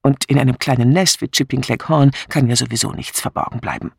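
A man's voice: medium pitch, fast talker, gravelly, husky, no echo, clean studio sound. A man voicing a composed, patient lady of the house in an audiobook, controlled and reassuring with faint irritation.

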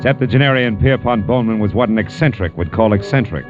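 Man playing a low-voiced, hard-boiled American detective. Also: fast talker, music in the background, natural voice. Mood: wry narration. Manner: sardonic, terse, dry. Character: wry, hard-boiled male private detective, world-weary and blunt. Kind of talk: 1940s-50s radio noir narration and acting. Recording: dull vintage radio broadcast recording.

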